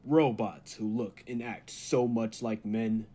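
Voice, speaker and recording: Man acting a slow, menacing robot mastermind. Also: on the low side, steady tone, dark tone. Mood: cold, calculating. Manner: deliberate, menacing, grandiose. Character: cold, megalomaniac humanlike male robot bent on world mastery. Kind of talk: old-time radio drama acting.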